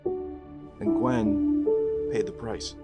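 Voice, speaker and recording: A man's voice: on the low side, fairly even intonation, very slow, loud music behind the voice. An actor voicing a young male superhero, remorseful and earnest, narrating his past in a confessional monologue with dry quips. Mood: grieving, remorseful. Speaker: actor in a script table read. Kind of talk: dramatic first-person monologue.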